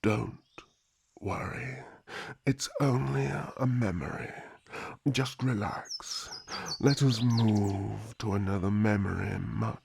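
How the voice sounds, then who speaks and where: A man playing an older elf High King in a fantasy ASMR roleplay, calm, formal and soothing, often dropping to a whisper. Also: takes it slowly, creaky, slight background noise. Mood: soothing, hypnotic. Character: regal elf High King, calm, kindly, protective father.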